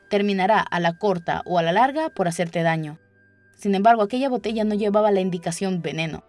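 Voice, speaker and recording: Casting: female audiobook narrator for a children's tale, mid-pitched voice, clear music bed underneath. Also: darkish tone. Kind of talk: scripted children's story narration. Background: background music.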